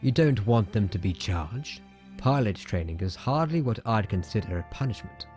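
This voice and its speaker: An actor playing a stern, pragmatic military academy commandant, blunt and firm, in a dramatic comic dub. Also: male voice, lowish voice, expressive, moderate pace, darkish tone, faint music behind, close to an everyday voice. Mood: wry, pragmatic.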